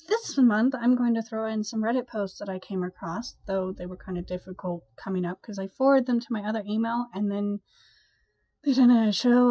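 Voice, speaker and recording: A woman's voice: fairly high pitch, a little mellow, no echo, rough recording. A young woman vlogger, congested from a cold, chatting casually and rambling with a stuffy, nasal voice. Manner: chatty, rambling, with sighs and laughs. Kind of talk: casual chat to camera.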